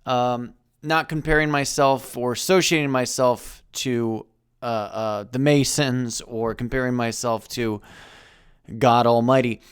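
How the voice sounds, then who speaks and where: A man in his thirties talking to camera in a halting, reflective vlog, with many fillers and restarts. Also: medium pitch, steady tone, clear, resonant tone, no echo, clean studio sound. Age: 30s. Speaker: YouTuber. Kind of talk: personal vlog monologue.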